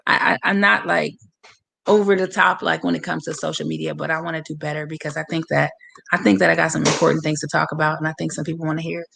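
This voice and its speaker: Hoarse woman on a video call, super gravelly, talks straight to the viewers. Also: deep voice, level tone, quick pace, a bit bright, slight background noise. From San Francisco, USA. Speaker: guest, boxer. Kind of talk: livestream interview.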